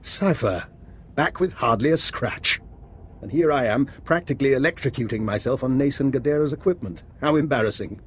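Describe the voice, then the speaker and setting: A man's voice: mid-range voice, fairly quick, slightly rough, close to an everyday voice. A man playing a genteel, wry scientist with morbid interests in a video game, arch and understated. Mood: self-mocking.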